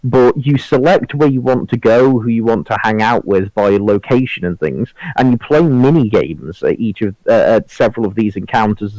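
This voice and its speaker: A man's voice: lowish voice, darkish tone, dry recording, rough recording. A man talking in a casual group discussion as a podcast panelist.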